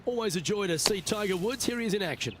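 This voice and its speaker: A man's voice: sits high, animated, fast talker, noisy background. A man playing a genial, composed lead golf announcer in a video game, commentating conversationally with understatement and tag questions. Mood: engaged, conversational.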